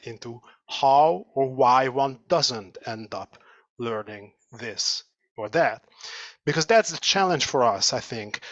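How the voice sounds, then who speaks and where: A man with a Hungarian accent, a sociology lecturer giving a measured, thoughtful seminar talk over a video call. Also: medium pitch, pushed and bright, dry recording. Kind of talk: academic seminar presentation.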